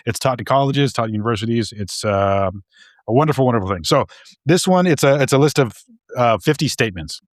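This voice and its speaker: A man with a fairly low voice talks through ideas on a podcast, circling back and repeating himself as he thinks. His voice sounds dry and close to the mic. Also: no echo. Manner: hesitant, restarts and repeats words often. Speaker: podcast co-host. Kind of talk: casual discussion.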